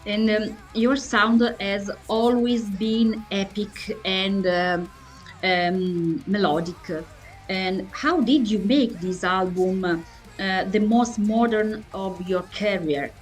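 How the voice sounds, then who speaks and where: Female interviewer, medium pitch, unhurried and halting as she reads out questions. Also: faint music behind, low-quality recording. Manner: hesitant, stumbling delivery with searching pauses.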